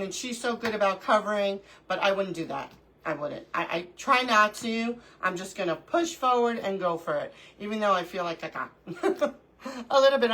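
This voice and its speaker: A middle-aged woman hosts a casual crafting livestream from home. Her voice is bright and clear, though stuffy from allergies, and she sniffles now and then. She chats easily and greets viewers one by one by name. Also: a little high, low-quality recording. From New York.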